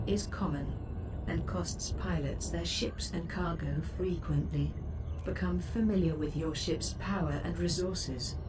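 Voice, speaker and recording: Low, composed female spaceship computer, acted by a woman. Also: background noise, natural voice. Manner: formal, composed, advisory. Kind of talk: scripted game voice-pack announcements. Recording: distant-sounding voice under game music.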